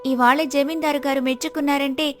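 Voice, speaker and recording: A woman voicing a gentle, devoted, hardworking village wife in an earnest, respectful manner for a moral story. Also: high-pitched, steady tone, fairly quick, faint music behind, lightly acted. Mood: earnest, firm.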